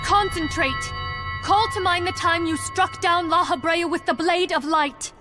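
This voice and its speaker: A woman's voice: very high voice, steady tone, a little slow, bright, forceful tone, faint music behind, heavily acted. A woman playing an earnest young female mystic leader in a fantasy game, solemn and pleading. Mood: urgent. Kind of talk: fantasy video game acting.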